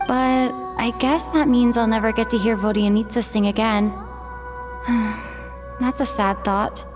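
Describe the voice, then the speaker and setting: Woman voicing a slow, high, soft frail girl. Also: lightly acted. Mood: wistful. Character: sweet, frail young girl, earnest and shy. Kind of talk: video game English dub acting.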